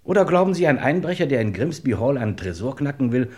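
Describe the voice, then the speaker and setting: Actor as a formal, mid-pitched police detective. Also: male voice, sing-song, darkish tone, close to an everyday voice. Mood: pointed. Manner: formal, pointed, deliberate. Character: dry, courteous, insinuating male police detective. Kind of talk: vintage German crime radio play acting.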